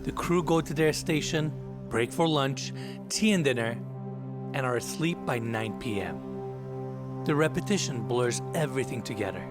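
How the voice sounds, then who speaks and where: Excitable young man, native Turkish speaker. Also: medium pitch, normal speed. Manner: excitable, exclaims and laughs while crawling through tight spaces. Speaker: host. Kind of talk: casual vlog chat and voice-over narration. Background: background music.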